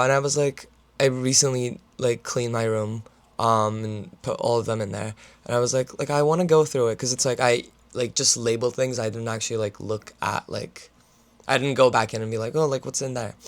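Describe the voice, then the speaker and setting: Young male student vlogger, mid-pitch, gravelly fry, raspy today; loose filler-laden chat to camera. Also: steady tone, normal speed. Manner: filler-heavy, talks with his hands. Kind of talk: casual rambling vlog chat.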